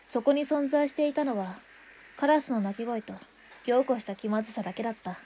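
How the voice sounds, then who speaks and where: A woman playing a wounded girl who narrates her memories in a soft, reflective way. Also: on the high side, fairly quick, a little hiss or hum, close to an everyday voice. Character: wounded girl hostage, later recalling as narrator. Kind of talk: radio drama narration.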